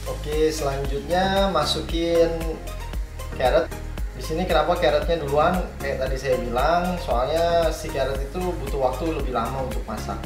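A man's voice: a little high, moderate pace. A man in his thirties, a chef, cooking at home and explaining each step, asking questions and answering them himself. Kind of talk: casual cooking demonstration. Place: bright home kitchen, indoors. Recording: roomy kitchen with music behind. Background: background music.

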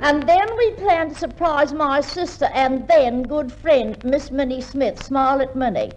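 A woman's voice: sits high, a little slow, a little mellow, lo-fi sound. An actress playing a naive, feuding woman in a 1950s radio comedy, theatrical and guileless. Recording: 1950s radio recording, faint hiss.

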